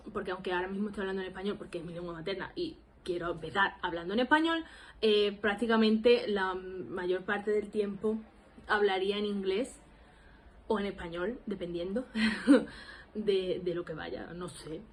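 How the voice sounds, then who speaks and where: A woman's voice: medium pitch, unhurried, a little hiss or hum, poor audio. Chatty young Andalusian woman. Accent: Andalusian Spanish. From Spain. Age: 20s. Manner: rambling and self-deprecating, lots of laughs and fillers. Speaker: language learning vlogger. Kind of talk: casual self-introduction to camera.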